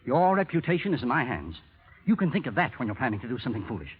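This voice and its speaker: A scornful blackmailer from 1930s radio drama, on a dull, lo-fi recording. His husky voice swings widely in pitch as he taunts and threatens with theatrical deliberation. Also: sing-song, rapid-fire. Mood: taunting, threatening. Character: bitter, menacing male blackmailer, coldly calculating and scornful.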